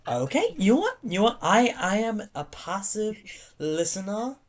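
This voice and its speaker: A young man hosting a casual podcast chat, excitable and swearing, often stumbling over his words. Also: high voice, moderate pace. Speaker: podcast host.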